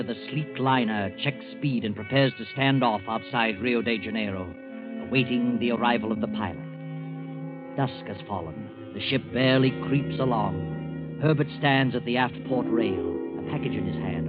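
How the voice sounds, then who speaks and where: A man narrating a 1940s radio suspense story in a dramatic, deliberate present-tense style. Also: medium pitch, lightly acted. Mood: suspenseful. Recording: tinny 1940s radio, loud music behind.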